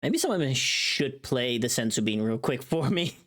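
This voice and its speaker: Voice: mid-range voice, animated, normal speed, a bit bright. A streamer chatting casually and thinking aloud in a rambling way while working through tasks on a livestream. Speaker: streamer recording a voice pack.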